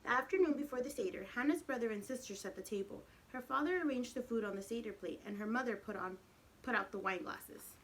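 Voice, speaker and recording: A woman's voice: a little high, fast talker, a little hiss or hum, poor audio. A woman reading a picture book expressively to young children as their teacher, speaking to them directly. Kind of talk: animated storybook read-aloud with questions to the children.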